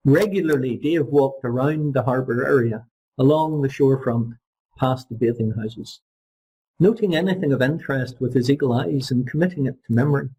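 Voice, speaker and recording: A man reading a short story aloud as an audiobook narrator, in a husky, gravelly voice. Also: mid-range voice, moderate pace, mellow, poor audio. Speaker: audiobook reader. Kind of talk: scripted literary narration.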